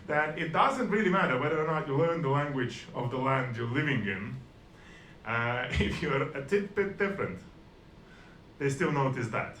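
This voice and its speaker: A man delivers stand-up in a dry, self-deprecating way, often stumbling and restarting his sentences. His voice sits at an ordinary middle pitch. The room is echoey, with a faint hiss under him. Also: animated, normal speed, a bit bright. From Estonia. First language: Russian and Estonian. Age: 40s. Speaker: stand-up comedian. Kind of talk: stand-up comedy set.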